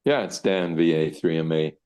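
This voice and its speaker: A man's voice: a bass voice, moderate pace, darkish tone. A man asking a polite question in an online meeting. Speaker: meeting participant, ham radio operator.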